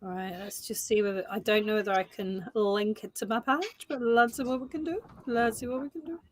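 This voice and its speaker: Relaxed chatty woman with a sore throat. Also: a little high, fairly quick. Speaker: co-host, psychic reader. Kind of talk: casual live-stream chat.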